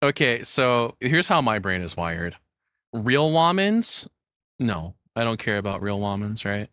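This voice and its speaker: A man's voice: on the low side, very animated, measured pace, a bit bright, dry recording, studio quality. A man streaming casual live reaction commentary, rambling and joking crudely as he goes.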